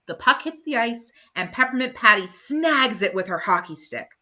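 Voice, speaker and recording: A woman reading a children's bedtime story aloud expressively, pausing to chat to her young listeners. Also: fairly high pitch, expressive, sharp tone.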